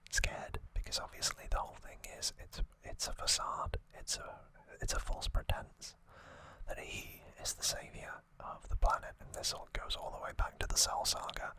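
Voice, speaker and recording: An ASMR host speaking in a breathy whisper, giving a soft, unhurried, casual recap with conversational asides. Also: poor audio.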